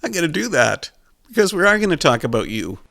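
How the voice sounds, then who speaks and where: A male radio host with a gravelly voice asks questions, teasing his guest. His pitch swings a lot as he talks. The studio sound is dry and close. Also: sing-song, fast, a bit bright, no echo. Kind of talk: interview questions.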